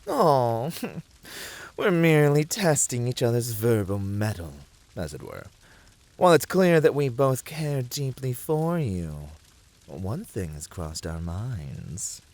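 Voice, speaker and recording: A man playing a flirty, devoted incubus demon in an ASMR roleplay, teasing his master with effusive flattery. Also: medium pitch, slow pace, dry recording. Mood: playful, cocky.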